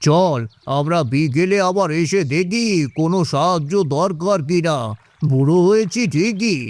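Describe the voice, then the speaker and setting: Man playing a higher-voiced, kindly old villager. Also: a little slow, a little mellow, dry recording. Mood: reflective, approving. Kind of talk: animated moral story dialogue.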